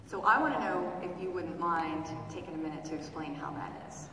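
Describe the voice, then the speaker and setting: A woman's voice: fairly low pitch, a little fast, recorded from a distance, noisy background. A woman in a town hall audience putting earnest, pointed questions to a politician. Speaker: audience member asking questions. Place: large theater hall. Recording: echoey hall with a murmuring crowd.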